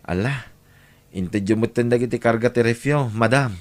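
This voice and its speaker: A man's voice: mid-range voice, normal speed, close to an everyday voice. An actor playing a poor, hardworking father who speaks meekly and deferentially. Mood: humble. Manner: meek, deferential. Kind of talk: radio drama acting.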